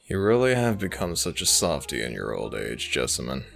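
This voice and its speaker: A man playing a world-weary, centuries-old werewolf alpha in an audio drama, brooding and sardonic, with a gravelly voice. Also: fairly bright tone, heavily acted. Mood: mocking. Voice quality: very deep, gravelly. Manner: slow, brooding, deliberate. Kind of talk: dramatic audio roleplay acting.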